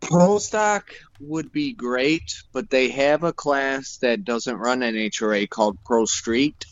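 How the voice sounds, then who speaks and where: A man who races motorcycles, answering interview questions as a podcast guest in a slow, measured way. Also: medium pitch, lo-fi sound. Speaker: guest, motorcycle drag racer.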